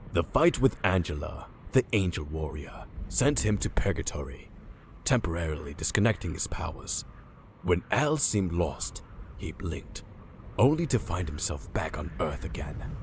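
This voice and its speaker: Solemn, ominous dramatic narrator, male, low-pitched, slight noise behind the recording. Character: ominous, solemn omniscient comic-book narrator.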